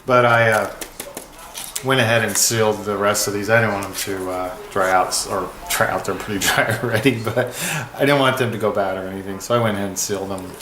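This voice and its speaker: A man's voice: lowish voice, fairly even intonation, fairly quick, crowd noise. A man giving a casual, rambling hands-on product review with an informal, offhand way of talking. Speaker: product review video host. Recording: echoey room with clear background noise.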